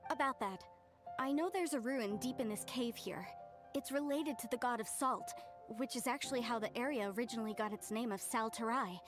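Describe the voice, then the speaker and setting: Game role for a woman: young ruins researcher, fairly high register, earnest and explanatory, light music behind. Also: a little fast, lightly acted. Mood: earnest, serious. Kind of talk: English video game voice acting.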